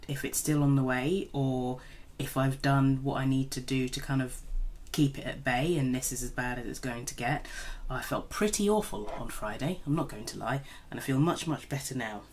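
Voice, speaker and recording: A woman's voice: rumbling low, normal speed, a little mellow. A woman recovering from a cold, slightly nasal, chatting in a rambling, self-deprecating way while doing her makeup at home. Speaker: beauty vlogger. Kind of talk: casual makeup tutorial chat.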